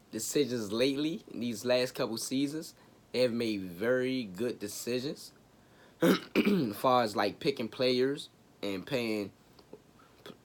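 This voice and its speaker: A man hosting his own sports talk show, raspy from a cold, chatting casually with slang and jokes. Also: medium pitch. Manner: laid-back, joking, chatty with slang. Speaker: sports podcast host.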